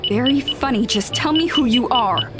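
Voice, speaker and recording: An actress playing a pushy, chirpy young ghost hunter in an audio drama. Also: female voice, high-pitched, expressive, moderate pace. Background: loud background music.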